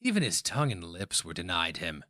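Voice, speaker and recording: A man's voice: low voice, huge pitch swings, fairly quick, bright, forceful tone, dry recording, clean studio sound. A man giving a theatrical, intense dramatic reading of scripted fiction as narrator.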